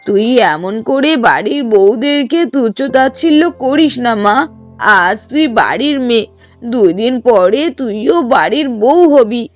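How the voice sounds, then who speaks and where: A woman's voice: on the high side, animated, unhurried, faint music behind. A woman voicing a fair-minded, fretful middle-aged mother-in-law in a cartoon, earnest and admonishing. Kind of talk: Bengali cartoon voice acting.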